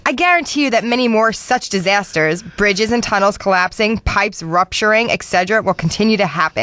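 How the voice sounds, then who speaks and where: A scornful female political blogger as a woman acts her out reads out a bitter post with sarcastic emphasis. Her voice is mid-pitched, in a dry, close studio sound. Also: fairly quick, a bit bright, dry recording, lightly acted. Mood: bitter sarcasm. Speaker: radio show reader. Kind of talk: dramatic reading of angry blog posts.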